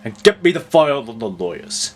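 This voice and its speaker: A man voicing a hulking, cold male crime boss who speaks slowly and with menacing calm. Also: slight background noise, natural voice. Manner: slow, deep, menacing calm.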